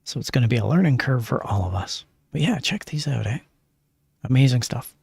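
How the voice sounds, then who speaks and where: A man's voice: mid-range voice, expressive, brisk, gravelly, darkish tone, breathy edge, no room sound. An older man, chatting casually on his livestream in a gushing way, with lots of fillers. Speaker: streamer.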